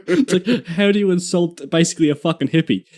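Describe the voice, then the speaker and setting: A man's voice: fairly high pitch, a little mellow. A man, a podcast guest chatting casually, often laughing and swearing as he jokes. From Australia. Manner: laughing, profane, joking. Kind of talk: casual chat.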